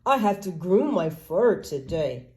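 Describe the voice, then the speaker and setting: A pampered storybook cat, voiced by a woman reader, slow and prim. It sounds fastidious and disdainful, too vain and lazy to help anyone. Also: fairly low pitch, very animated, mellow.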